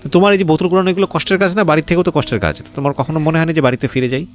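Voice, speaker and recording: A man's voice: on the high side, very fast, faint music behind. A man with a Bangladeshi accent hosting an interview, speaking informally and asking probing, prodding questions. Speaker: radio show host interviewing guests.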